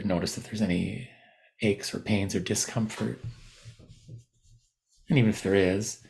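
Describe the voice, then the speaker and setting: Male meditation teacher, lowish gravelly register, gentle and slow to move on, instructing with spacious pauses. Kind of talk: guided body scan meditation.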